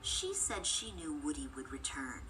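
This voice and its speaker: Female narrator, children's read-aloud, expressive, lively intonation, medium pitch, music behind. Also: normal speed, poor audio. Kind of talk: scripted children's storybook narration.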